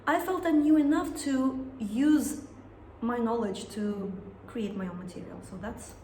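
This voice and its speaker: Russian-accented woman singer, reflective interview talk that pauses and restarts to find words; roomy sound. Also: fairly high pitch, a little mellow. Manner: thoughtful, searching for words, frequent restarts. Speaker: singer and songwriter. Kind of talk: interview conversation. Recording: echoey room with some noise.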